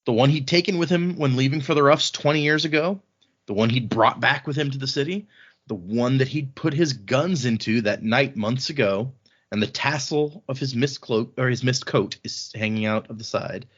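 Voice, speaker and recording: A man's voice: medium pitch, moderate pace, breathy edge, dull audio. A man hosting a podcast, retelling a fantasy novel in casual, colloquial language with wry asides. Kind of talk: casual chat, informal book recap.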